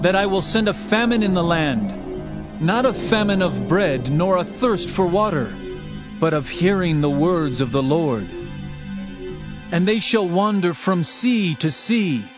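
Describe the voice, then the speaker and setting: High voiced guy reading old bible prophecy, solemn n declamatory, music playing behind. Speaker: scripture narrator. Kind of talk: dramatized scripture reading.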